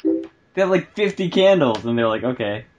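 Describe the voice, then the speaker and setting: A man's voice: mid-range voice, very animated, moderate pace, a little mellow, soft background music. A man wisecracking in casual group movie commentary, sounding exasperated. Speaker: co-host. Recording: dull lo-fi mic.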